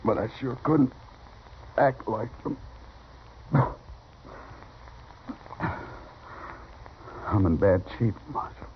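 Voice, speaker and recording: An actor playing a poor, meek, badly hurt cowboy in a 1950s radio western, speaking in halting, plain words. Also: male voice, lowish voice, close to an everyday voice. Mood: weak, resigned. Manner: halting, plain-spoken, humble. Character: poor, meek, dying cowboy longing for respect. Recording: noisy, muffled old radio recording.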